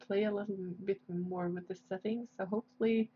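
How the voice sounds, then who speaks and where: A woman's voice: mid-range voice, fairly even intonation, brisk, husky. A woman with a Swedish accent chatting casually on a livestream as she draws, hesitant and searching for her English words. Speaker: livestream host, artist. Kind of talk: casual livestream chat while drawing. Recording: dull, lo-fi livestream audio.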